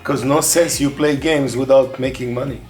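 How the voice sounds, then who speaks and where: A man's voice: medium pitch, fairly even intonation, normal speed, darkish tone, soft background music. A man speaking as a parent, answering interview questions in a plain-spoken, matter-of-fact way. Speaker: parent of the player.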